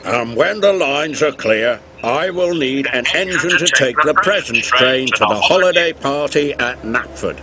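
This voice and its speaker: A man voicing a stern, stout railway boss who booms his orders in a storybook. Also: lively intonation, measured pace, hardly any pauses, noisy background, lightly acted. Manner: booming and commanding. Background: background music.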